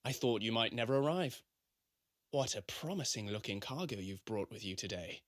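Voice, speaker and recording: An actor playing a genial, gracious young prince in a radio drama, with refined, easygoing formal speech. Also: male voice, on the low side, normal speed, no echo, clean studio sound, lightly acted. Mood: pleased, curious. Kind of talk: scripted radio drama acting.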